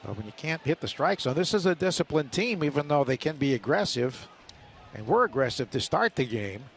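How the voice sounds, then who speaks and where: A man's voice: mid-range voice, sing-song, fairly quick, slight background noise. A man working as a radio announcer, calling live baseball play-by-play.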